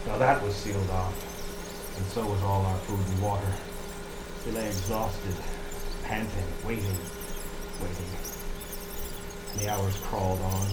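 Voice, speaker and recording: Actor as a haunted lighthouse keeper, echoey sound. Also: male voice, low-pitched, level tone, over loud music. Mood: hushed dread. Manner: dramatic, suspenseful storytelling with deliberate pauses. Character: grim, haunted male lighthouse keeper recounting horror. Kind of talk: audio horror drama narration and acting. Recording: echoey, noisy radio play sound.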